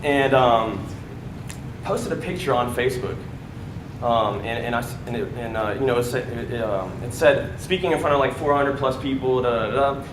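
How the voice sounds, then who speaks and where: A man's voice: mid-range voice, normal speed. Young man telling his story on stage. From United States. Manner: casual, many fillers and "right?" tags. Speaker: speaker at a sales event. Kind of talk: motivational testimonial on stage. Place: large event room before an audience. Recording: echoey room with background noise.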